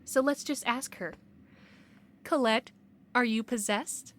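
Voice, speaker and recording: A woman's voice: on the high side, slow, fairly bright tone, slight background noise. A woman playing a skeptical, by-the-book investigator in an audio drama, speaking precisely and argumentatively. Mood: insistent. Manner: precise, argumentative, matter-of-fact. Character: skeptical, bookish young female paranormal investigator, stubborn and by-the-book.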